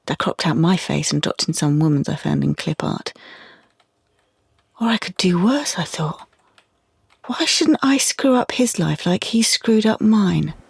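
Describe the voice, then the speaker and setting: A guarded young woman in a radio play. Her voice is low and husky, close and dry, and she talks curtly, like someone hurt and defiant. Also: animated, unhurried, dry recording, full character voice. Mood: bitter. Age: young adult. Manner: curt, guarded. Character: wounded, guarded, defiant young female artist. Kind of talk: audio drama monologue and dialogue.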